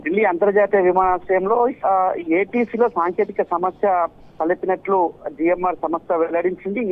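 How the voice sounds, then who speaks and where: Over a thin, phone-like link, a male correspondent reports live. His voice is notably high for a man. He speaks haltingly, repeating himself and hesitating as he improvises. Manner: halting, repetitive, hesitant delivery. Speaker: news correspondent. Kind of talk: unscripted live news report. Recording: muffled, band-limited live link.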